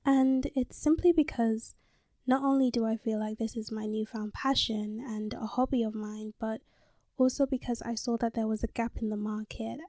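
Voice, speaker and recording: A woman's voice: on the high side, steady tone, normal speed, warm tone, no echo, clean studio sound. A nineteen-year-old woman from London with a slight American tone, chatting candidly and swearing freely on her solo podcast, recorded in a small closet on a handheld mic. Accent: London with some American tone. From London, England. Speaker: podcast host. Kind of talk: casual solo podcast monologue.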